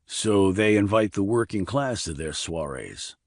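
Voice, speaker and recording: Man voicing a low, deadpan army investigator. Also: unhurried, no echo, studio quality, exaggerated voice. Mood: sardonic. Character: wry, sardonic male army investigator. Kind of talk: audiobook character dialogue.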